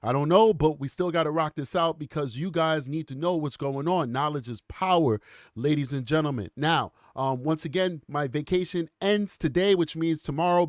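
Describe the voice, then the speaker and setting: A man's voice: on the high side, no echo, studio quality. A man with a cold and a congested voice, hosting a casual livestream in a chatty, rambling way and laughing often. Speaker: show host.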